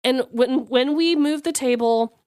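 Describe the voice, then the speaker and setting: A woman co-hosting a podcast, telling personal stories in an expressive, giggly, casual way with some swearing. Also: high-pitched, unhurried, clear, resonant tone, dry recording, clean studio sound. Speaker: podcast co-host. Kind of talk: casual chat, animated personal storytelling.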